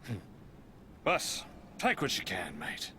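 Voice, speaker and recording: An actor playing a sly, swaggering pirate captain in a video game, with a theatrical, wry delivery. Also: male voice, medium pitch, wildly swooping pitch, takes it slowly, noisy background. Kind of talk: video game character acting. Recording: echoey game audio, quiet behind commentators.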